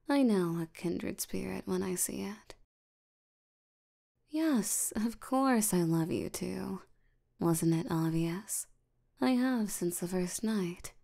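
A woman voicing a tired, languid sloth demon in an intimate ASMR roleplay, soft and often nearly whispering, with a drowsy deadpan delivery. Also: mid-range voice. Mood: fond, sleepy. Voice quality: soft, creaky, often breathy near-whisper.

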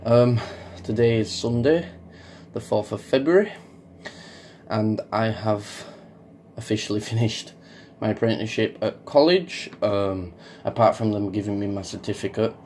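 Croaky young Yorkshire man, hesitant. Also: on the low side, measured pace, darkish tone, slight background noise. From Yorkshire, England. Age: young adult. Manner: hesitant, lots of ums, rambling. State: croaky from illness. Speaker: farming YouTuber. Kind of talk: casual vlog update.

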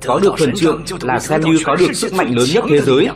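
A man doing voice-over dubbing for an animated film. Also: fairly high pitch, fast talker. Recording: loud music behind the voice.

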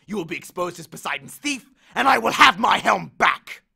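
A man's voice: high-pitched, very animated, normal speed, creaky, pushed and bright, husky, voice pushed up, full character voice. A man voicing an angry, aggrieved male god of the dead who bellows complaints and threats in an audiobook. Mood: menacing. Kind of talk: audiobook character voice.

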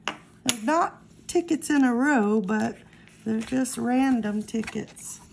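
Slow, gravelly high-voiced woman. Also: background noise. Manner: unhurried, reading out numbers one by one. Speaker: scratch-off ticket vlogger. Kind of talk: casual talk while scratching lottery tickets.

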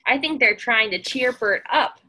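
A woman's voice: on the high side, normal speed, sharp tone, poor audio. A woman in her twenties reading a picture book aloud to young children, expressively and with questions for them.

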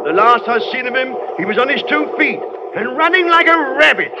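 An actor playing an eager junior policeman shouting during a chase in a 1930s radio drama. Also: very high voice, very animated, a little fast, over loud music, exaggerated voice. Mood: excited. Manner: shouting in pursuit. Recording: lo-fi old radio broadcast with hiss.

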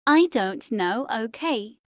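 A whiny, reluctant girl in a game roleplay, played by a woman. Her slightly high voice moves up and down a lot in short replies, with a dry, echo-free sound. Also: expressive, slow. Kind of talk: scripted game roleplay dialogue.

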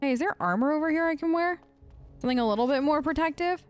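A woman's voice: high voice, fast talker, soft background music. A woman doing chatty, sarcastic in-character commentary over a video game, close on the mic. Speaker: gaming video host.

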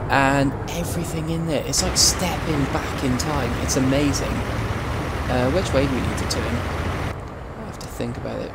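Young Welsh male streamer, chatty and rambling, mid-pitch voice, heavy truck game sound underneath. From South Wales. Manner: rambling, chatty storytelling with many asides. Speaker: gaming streamer. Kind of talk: casual chat while livestreaming a game. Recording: loud game noise behind. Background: truck game engine and road sounds.

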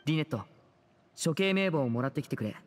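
A man playing a composed, kind young stage magician in an anime game, speaking in a measured, earnest and soft way. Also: a little high, lively intonation, long reverb tail, a little hiss or hum, lightly acted. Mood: resolute. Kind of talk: Japanese anime game dialogue acting.

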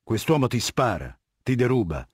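An actor playing a ruthless middle-aged warlord dictator in a video game, speaking in an imperious, measured, menacing manner with a husky voice. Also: male voice, medium pitch, sing-song, dry recording, clean studio sound. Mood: commanding. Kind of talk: dubbed video game dialogue.

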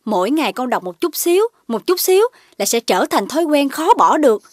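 High-voiced scolding cartoon mother dog. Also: very animated, fairly quick, slight background noise. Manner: admonishing, instructive. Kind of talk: children's cartoon dub. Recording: music under the voice.

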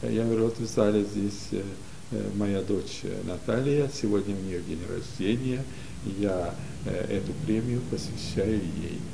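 An older man from Ukraine, telling stories as a guest in an interview, unhurried and full of pauses, recorded in a library studio. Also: fairly low pitch, gravelly, noisy background. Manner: unhurried, many pauses and hesitations. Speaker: guest, community leader. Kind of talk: relaxed storytelling in an interview.

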